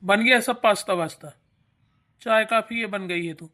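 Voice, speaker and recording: A woman's voice: mid-range voice, normal speed, fairly bright tone, natural voice. A woman voicing a protective young husband and grown son in a family cartoon, firm and reproachful. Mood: concerned, insistent. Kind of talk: Hindi family cartoon acting.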